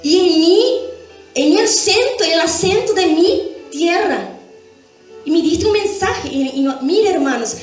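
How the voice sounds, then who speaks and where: A woman with a high voice teaches the Bible to a church. She explains and tells testimonies, checking in with short tag questions. The sound is heavily echoing, with faint music underneath. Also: lively intonation, measured pace. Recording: very echoey room, faint music behind.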